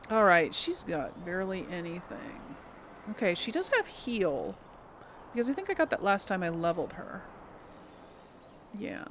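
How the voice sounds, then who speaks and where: A woman's voice: mid-range voice, gravelly, slight background noise. A woman playing a video game and giving casual commentary, thinking aloud informally for her viewers. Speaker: video game let's player.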